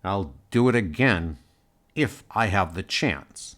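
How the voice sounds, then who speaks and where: Defiant male colony leader played by a man, arrogant and combative, animated intonation. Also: low-pitched, slow, heavily acted. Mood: bitter. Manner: combative, scornful.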